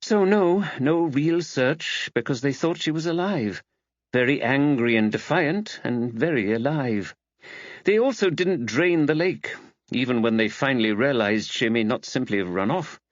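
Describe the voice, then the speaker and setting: A man voicing a thoughtful, easily dismayed woman writer in earnest, expressive audiobook dialogue. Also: medium pitch, unhurried, no echo, highs cut off, voice pushed up, close to an everyday voice. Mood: earnest, pensive.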